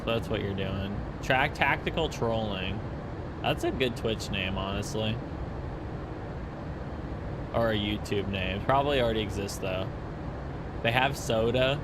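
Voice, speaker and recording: A man's voice: lowish voice, unhurried, pauses often, very noisy. A man in his early twenties chatting casually with his stream viewers while flying, with rambling asides. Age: 20s. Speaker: flight sim streamer.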